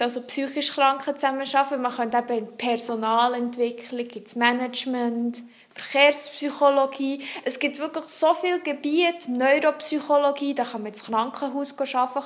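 Young female student, high voice, French; earnest and explanatory, searching for words in an interview. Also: a bit bright. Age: young adult. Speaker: psychology student. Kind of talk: answering interview questions.

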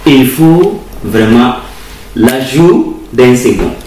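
A man answering interview questions in a formal, deliberate way, repeating words as he explains. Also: mid-range voice, lively intonation, darkish tone. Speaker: interviewee, university teacher of German and English. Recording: roomy distant recording with faint ambient noise.

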